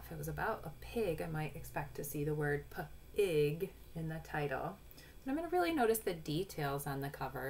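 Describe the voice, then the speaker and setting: A woman in her forties reading a picture book aloud to young children, pausing to explain in an instructive way. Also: low-pitched. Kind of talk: storybook read-aloud with explanatory asides. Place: small room at home. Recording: noisy home webcam recording.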